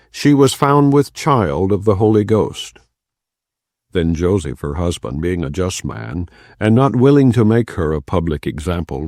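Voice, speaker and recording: A man reading scripture aloud as a steady, measured audiobook narration. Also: low voice, no echo, clean studio sound. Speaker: scripture reader.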